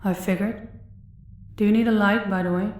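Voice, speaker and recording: A woman's voice: mid-range voice, fairly even intonation, a little mellow, distant mic, natural voice. Echoey, coolly sadistic female crime boss, acted by a woman. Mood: composed, courteous. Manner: condescending, measured, polite menace. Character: sadistic female crime boss, menacing, calmly toying with captive. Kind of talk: audio roleplay acting. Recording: echoey room, away from the mic.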